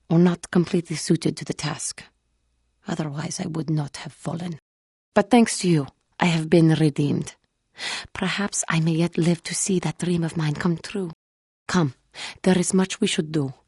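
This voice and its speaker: Solemn wounded cat-alien jedi lady from a game, dark husky voice, measured and formal. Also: low-pitched, dry recording, studio quality, heavily acted. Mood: earnest, hopeful, then curt, wounded pride. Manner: measured, formal, guarded, hesitant phrasing. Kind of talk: video game character dialogue.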